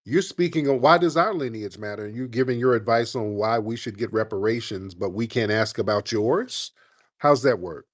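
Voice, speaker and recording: A man's voice: medium pitch, normal speed, no room sound, clean studio sound. A man hosting a call-in radio show, arguing with callers and interrupting them to lecture. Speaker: host.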